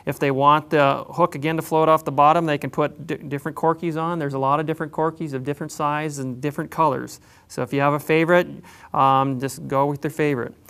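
A man explaining how to tie a drift fishing setup, in a plain, unhurried way with frequent pauses. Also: on the high side, fairly even intonation, fairly bright tone, smooth. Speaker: fishing instructor. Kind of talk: instructional demonstration.

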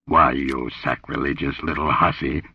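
A man voicing an angry, contemptuous barbarian warrior, barking and rumbling his words in a pulp adventure story. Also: steady tone, moderate pace, breathy edge, no echo, distant mic, full character voice. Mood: angry, demanding. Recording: dull lo-fi audio.

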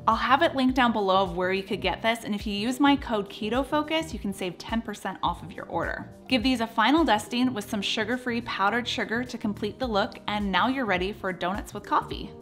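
A woman's voice: on the high side, a little fast, hardly any pauses, fairly bright tone, soft background music. A woman in her thirties hosting a cooking show, narrating recipe steps in an upbeat voice with playful asides, recorded in a home kitchen. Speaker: cooking channel host.

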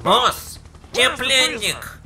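A man's voice: very high voice, animated, extremely slow, pushed and bright, slight background noise, exaggerated voice. A man playing a stern, menacing interrogator in a parody cartoon dub. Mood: accusing. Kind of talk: dubbed parody cartoon acting.

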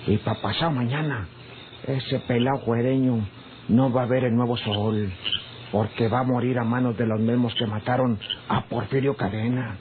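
A man's voice: medium pitch, fairly even intonation, moderate pace, natural voice. Actor as cold-blooded Mexican gunman, tinny old radio. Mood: deferential resolve. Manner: rustic rural Mexican speech, respectful. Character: cold-blooded scheming hired gunman plotting murder, deferential. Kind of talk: old radio drama acting. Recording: noisy, tinny old radio drama recording.

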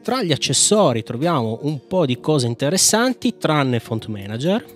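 A man giving a casual, playful software tutorial in an informal, chatty way. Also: a little high, very animated, moderate pace, faint music behind. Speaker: tech video host.

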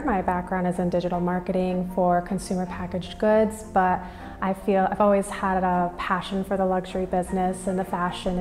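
A woman's voice: lowish voice, a little mellow. A young woman from Minnesota with an American accent, giving an interview testimonial as a student. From Minnesota, United States. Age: young adult. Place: indoors against a plain wall and banner. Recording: reverberant hall, music underneath. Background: background music.

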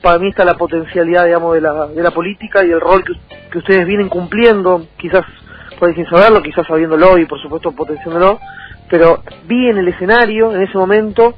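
A young man with a high voice and a Rioplatense Argentine accent speaks as an interview guest over a thin phone-like line. He halts, repeats and rephrases as he builds his points. Music plays faintly behind him. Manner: halting, repetitive, circling back to rephrase. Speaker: interview guest, local political candidate. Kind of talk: conversational political interview.

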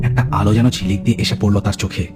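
A man with a Bengali accent narrating a scripted horror story in a measured, suspenseful, dramatic way. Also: lowish voice, steady tone. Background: music.

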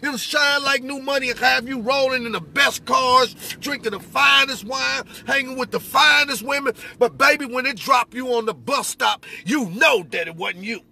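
High-pitched middle-aged man storytelling in a truck. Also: normal speed, creaky, few pauses, bright, forceful tone. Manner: colloquial, emphatic, repeats phrases for effect. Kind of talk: animated personal storytelling with preaching. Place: inside a pickup truck cab. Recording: noisy vehicle cabin.

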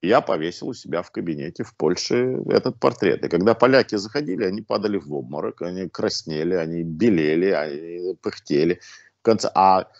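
A man's voice: deep voice, lively intonation, fairly quick, creaky, no echo. A man in his sixties, a guest on a video call, telling anecdotes in a chatty way with restarts, through a wired earphone mic at home. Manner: chatty anecdotal storyteller, restarts and filler sounds. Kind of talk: casual storytelling over a video call. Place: living room at home, indoors. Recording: dull, dry lo-fi video-call audio.

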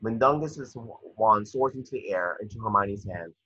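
A man narrates screenplay directions in an amateur table read. His voice is low and forceful with a husky edge, recorded slightly muffled over a video call. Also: animated, normal speed, a little hiss or hum. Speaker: narrator reading stage directions.

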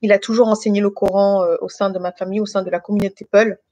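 A woman in her thirties from France, speaking informally and haltingly as she shares a personal testimony with a group. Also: mid-range voice, level tone, dark tone, dry recording, dull audio. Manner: hesitant, halting delivery with many pauses and repetitions. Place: living room at home.

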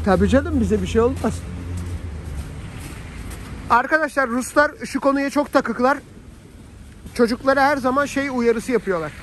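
A man's voice: very high-pitched, moderate pace, pauses often, fairly bright tone. A man chats casually and colloquially to his viewers as a family vlogger. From Turkey. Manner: chatty, colloquial, addresses viewers as friends. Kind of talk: casual vlog chat to viewers. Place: outdoors on a snowy city street. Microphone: handheld phone while filming. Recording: outdoor phone audio, faint street noise.

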